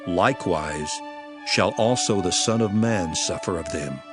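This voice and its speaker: An actor playing a solemn, authoritative teacher and master in a dramatized scripture, declaiming deliberately. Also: male voice, deep voice. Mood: calm authority. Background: music.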